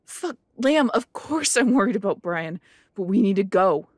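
A woman's voice: mid-range voice, lively intonation, normal speed, breathy edge, no echo. A woman plays a worried, foul-mouthed woman with fierce loyalty. Her delivery is urgent, in an audio roleplay drama with a very dry sound. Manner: urgent, sweary.

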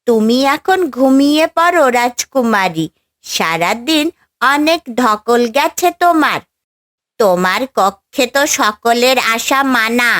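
Woman acting caring female parrot, fairy-tale cartoon, unhurried, gently coaxing, fairly high voice, music underneath. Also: no echo, studio quality. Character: caring, motherly female parrot, loyal helper of a princess. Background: background music.

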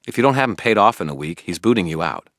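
A man's voice: sits low, lively intonation, fast talker, a bit bright, dry recording, studio quality, pitched above the performer's own voice. A man voicing an earnest, worried young male friend in an audiobook, pushy, blunt and informal. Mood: exasperated, heated. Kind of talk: acted audiobook dialogue.

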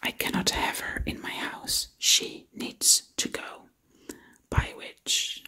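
Whispering woman, slow and breathy. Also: bright, forceful tone. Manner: repeats words over and over. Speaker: ASMR video creator. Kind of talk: whispered ASMR roleplay.

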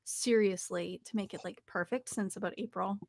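A woman's voice: mid-range voice, steady tone, normal speed, vocal fry, darkish tone, no echo. A young woman talking casually as an interview guest about her voice acting work. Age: young adult. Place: small home recording studio, indoors.

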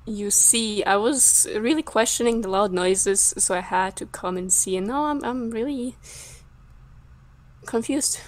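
A woman's voice: medium pitch, a little slow, lightly acted. A woman playing a curious, confused young survivor in an improvised game roleplay, full of forthright questions. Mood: puzzled.

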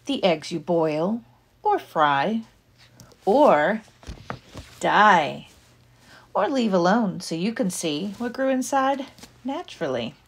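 Animated, unhurried woman reading to children. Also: mid-range voice, vocal fry, slightly rough. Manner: deliberate, expressive phrasing aimed at young listeners. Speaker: teacher reading a picture book aloud. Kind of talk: children's picture book read-aloud.

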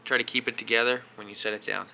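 A young man talks through his maintenance chores into a close action camera, his voice bright and a little tense. He speaks in a loose, unscripted way, stopping often for uh and um. A faint hum of noise sits behind him in the workshop. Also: mid-range voice, quick pace, creaky, poor audio. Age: young adult. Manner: relaxed, talks while working, frequent uh and um. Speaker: vlogger doing equipment maintenance. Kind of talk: casual how-to vlog. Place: workshop garage, indoors.

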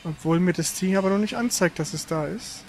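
Livestream gamer, casual chat, grumbling and exasperated, halting delivery, mid-pitched voice, slight background noise. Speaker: game livestream host. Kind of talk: casual livestream chat during technical trouble.

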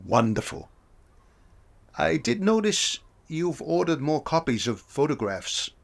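An actor playing a calm older mentor who gently encourages a young woman to chase her dream. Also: on the high side, a little slow, creaky, heavily acted. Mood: encouraging.

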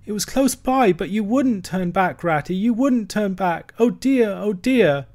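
Man doing a tearful little mole, high pitched, sobbing, pleading, storybook animal. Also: expressive, a little slow, a little mellow. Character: tearful homesick male mole, small and timid.

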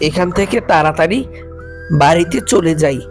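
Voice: medium pitch, expressive, moderate pace, soft background music, close to an everyday voice. A cartoon performer playing a fearful villager who worries aloud and puts up bravado. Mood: relieved. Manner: muttering worries to self, nervously defiant. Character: fearful villager carrying fish home, putting up bravado. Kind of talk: Bengali ghost cartoon dialogue.

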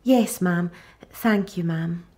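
A woman's voice: lowish voice, slow pace, a little mellow, breathy edge. A woman playing a meek, dutiful household maid who answers briefly in a radio play. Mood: deferential. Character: deferential female household maid. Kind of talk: radio drama acting.

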